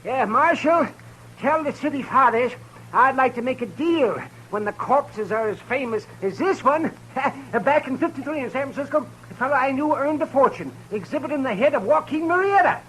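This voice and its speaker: An actor playing a greedy, ghoulishly cheerful frontier doctor, chatty and gleeful, in a 1950s radio drama. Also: male voice, extremely high pitch, animated, moderate pace, fairly bright tone. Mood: sly, amused. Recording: noisy old radio broadcast recording.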